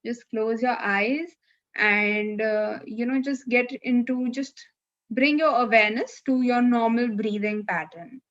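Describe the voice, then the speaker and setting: Woman, meditation instructor, slow unhurried delivery, gentle guidance, long pauses, muffled online-call sound. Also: on the high side. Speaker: meditation guide. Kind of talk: live guided meditation. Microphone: video call.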